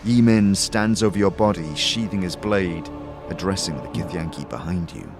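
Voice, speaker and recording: A man giving dramatic scripted second-person narration for a fantasy audio series, in a measured, theatrical storytelling manner. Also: sits low. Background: music.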